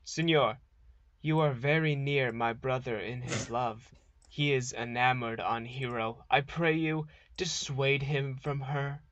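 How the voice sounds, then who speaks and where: A man's voice: mid-range voice, steady tone, measured pace, fairly bright tone, no room sound, close to an everyday voice. Dry-sounding scheming nobleman played by a man. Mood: sly. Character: scheming nobleman, the prince's sly brother. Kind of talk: Shakespearean radio play acting. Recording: slightly dull, dry close sound.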